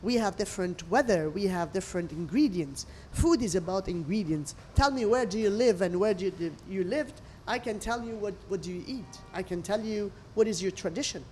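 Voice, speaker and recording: A woman's voice: medium pitch, fairly quick, hardly any pauses, slight background noise. A middle-aged woman from Lebanon, a restaurant owner talking expressively in imperfect English during an interview over a meal. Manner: expressive storyteller with non-native English phrasing.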